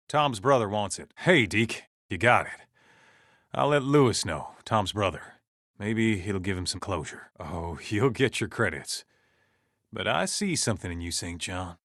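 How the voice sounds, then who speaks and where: An actor playing a gruff, stern survivalist camp leader in a video game, with an American accent and a folksy, blunt, commanding way of talking. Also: male voice, on the low side, bright, forceful tone, no echo, clean studio sound. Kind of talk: video game character dialogue.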